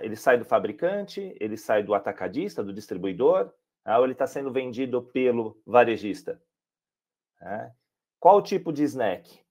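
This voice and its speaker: A man's voice: medium pitch, a little mellow. Brazilian man explaining taxes, "tá?"-heavy. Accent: Brazilian Portuguese. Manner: explanatory, many filler tags like "tá?" and "né". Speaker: regulatory director, tax expert. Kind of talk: explaining tax reform in a webinar.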